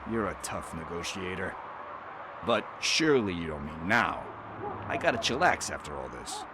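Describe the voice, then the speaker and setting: A whiny slangy thief. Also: male voice, deep voice, very animated, normal speed, noisy background. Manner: casual, whiny, joking. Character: sheepish, slangy male small-time thief. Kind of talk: video game cutscene acting.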